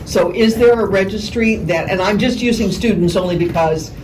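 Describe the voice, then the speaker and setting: A woman who works as a poll worker, asking questions from the audience in a chatty way and pausing to find her words. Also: medium pitch. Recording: distant mic with noise.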